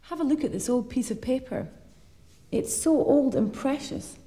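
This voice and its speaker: Young woman, schools TV presenter, clear simple explaining, somewhat high voice. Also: normal speed, dark tone, no room sound.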